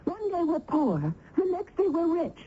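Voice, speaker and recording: An actress playing a tender, troubled young village niece in a radio drama, earnest and emotional. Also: female voice, very high voice, sing-song, measured pace, full character voice. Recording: dull old radio recording.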